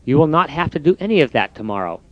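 A man's voice: sing-song, fast, no room sound, muffled recording. A man doing Frog, a patient helpful frog. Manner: brighter, higher and gently coaxing.

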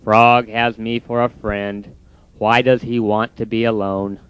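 A man's voice: fairly low pitch, flat delivery, unhurried, clear, resonant tone, dull audio, pitched below the performer's own voice, natural voice. A man voicing a gloomy, easily discouraged toad in a storybook, with a mopey manner. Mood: glum. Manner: mopey, sighing.

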